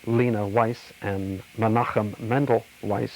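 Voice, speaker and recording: Older man, slightly low voice, measured reminiscence, factual, faint background noise. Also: steady tone, darkish tone, rough recording. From Slovakia. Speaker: interviewee. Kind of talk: personal oral-history reminiscence.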